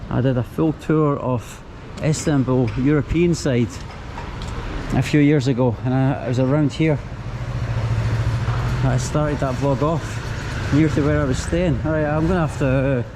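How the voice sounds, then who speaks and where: Chatty Scottish man on a noisy street. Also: a little high. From Scotland. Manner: chatty, talks straight to viewers. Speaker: travel vlogger. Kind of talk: casual travel vlog. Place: outdoors on a busy city street. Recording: street traffic noise behind. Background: traffic and street ambience.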